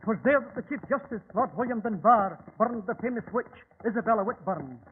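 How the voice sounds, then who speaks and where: A tinny, crackly old radio recording carries a superstitious Scottish carriage driver. He is a very high-voiced man with a theatrical, ominous way of telling things, fearful of the dark. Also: animated, a little fast. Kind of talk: old-time radio drama acting. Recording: noisy old radio drama, tinny phone-like band.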